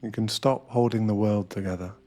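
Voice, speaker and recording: Male meditation guide, lowish pitch, hushed and deliberate dramatic delivery, intimate ASMR narration with faint music underneath. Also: steady tone.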